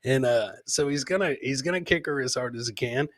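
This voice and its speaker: A man's voice: medium pitch, fairly quick, fairly bright tone, no room sound, clean studio sound. A man hosting a comedy podcast, commenting casually on funny clips and repeating his phrases for comic effect.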